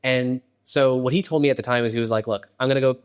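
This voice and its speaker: A man hosting an interview podcast, chatty and conversational, often checking in with a quick "right?". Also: mid-range voice, steady tone, clear, resonant tone. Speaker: podcast host.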